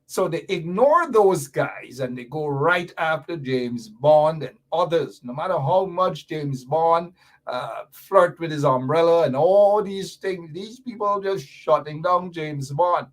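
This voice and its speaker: A man's voice: a little high, lively intonation, measured pace. A Guyanese man hosting a radio talk show, delivering fiery, sarcastic political commentary with mocking repetition.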